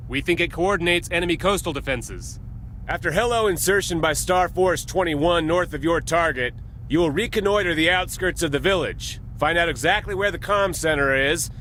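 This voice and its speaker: An actor playing a stern military captain in a video game, giving a formal, authoritative briefing with clipped delivery. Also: male voice, high-pitched, bright, forceful tone, slight background noise.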